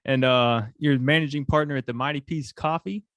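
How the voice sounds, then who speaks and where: A man's voice: mid-range voice, fairly even intonation, moderate pace, fairly bright tone, dry recording, studio quality. A man hosting a coffee podcast, asking curious questions in a laid-back, slangy way. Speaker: podcast host. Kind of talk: casual interview.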